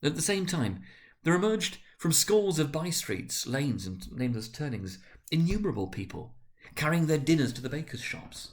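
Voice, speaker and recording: A man reading classic prose aloud as a storyteller, in an expressive, deliberate, dramatic narrating manner. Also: fairly high pitch. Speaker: narrator, reader.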